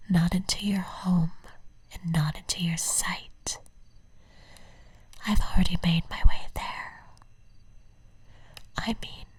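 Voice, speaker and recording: A possessive, lovesick stalker played by a woman murmurs in a heavily breathy whisper for an ASMR roleplay. She speaks slowly and deliberately, coaxing and intimate, with poetic devotion in her phrasing. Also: on the low side, no echo, heavily acted.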